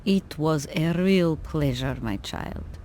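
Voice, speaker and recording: Slow, gentle elderly lady. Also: sits low, slight background noise. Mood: fond, wistful. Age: older. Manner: slow, fond, genteel. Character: gentle, affectionate elderly lady, warmly grateful. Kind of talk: video game voice acting.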